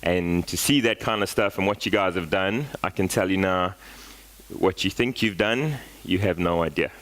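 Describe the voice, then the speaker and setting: A man's voice: deep voice, normal speed, a bit bright. A South African man in his forties giving a casual, storytelling talk at a conference, with lots of fillers and restarts. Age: 40s. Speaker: guest speaker.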